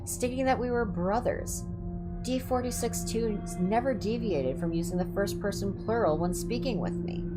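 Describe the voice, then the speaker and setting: Low-voiced woman reading horror, ASMR style. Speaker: streamer reading horror stories aloud. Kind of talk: ASMR reading of scripted horror entries with casual asides.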